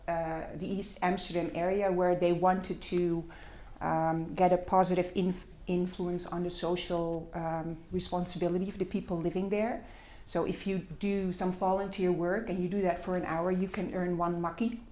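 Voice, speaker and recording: A woman with a Dutch accent speaks to a conference audience, her voice sitting fairly low and echoing through a large room. She pauses often and leans on fillers as she goes. She talks through her slides in plain, simple terms. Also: warm tone. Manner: hesitant, many fillers, self-deprecating. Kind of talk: presentation with slides. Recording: echoey hall.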